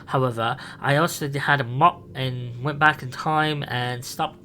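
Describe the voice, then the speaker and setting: A man playing an eccentric, excitable time traveler who rambles with enthusiasm in a fan roleplay. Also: mid-range voice, slight background noise. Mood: excited. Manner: enthusiastic, rambling, quick bursts.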